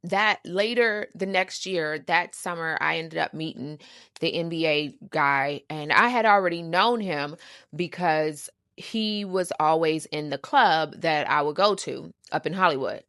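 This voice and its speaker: A woman's voice: sits low, a little slow, fairly bright tone, dry recording, clean studio sound. A middle-aged woman telling a personal story casually as a host, sassy and emphatic, repeating words for emphasis and using salty slang. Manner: uses slang and swearing.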